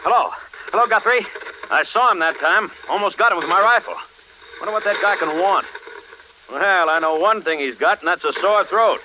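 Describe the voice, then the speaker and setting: Excitable engineer in an old radio play, really sharp high voice, bit of noise on it. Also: male voice, moderate pace. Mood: excited relief. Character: excitable engineer turning frightened and evasive over radio. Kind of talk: 1940s radio acting. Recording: noisy vintage radio drama recording.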